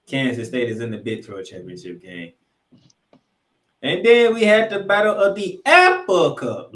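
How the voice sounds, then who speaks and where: A man's voice: medium pitch, a bit bright. Echoey male sports podcast host. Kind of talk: excitable college football recap commentary. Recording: echoey room, music underneath.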